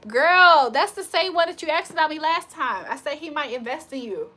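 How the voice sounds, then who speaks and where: Brief: woman, livestream tarot reader, chatty and slangy, bright voice pitched a bit high. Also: expressive, normal speed. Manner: chatty, reads viewer comments aloud, slangy. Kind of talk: casual livestream chat.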